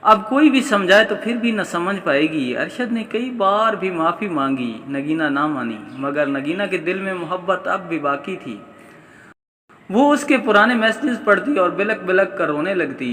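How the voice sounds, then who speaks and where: A male storyteller with a fairly high, very bright and forceful voice, reading a dramatic story. His voice rings out in a long hall-like reverb. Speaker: audiobook narrator. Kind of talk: dramatic scripted story narration.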